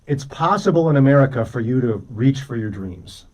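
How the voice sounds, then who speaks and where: A man's voice: mid-range voice, expressive, moderate pace, a little mellow, recorded from a distance, rough recording. Male radio co-host, slightly off the mic. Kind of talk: casual conversational radio commentary.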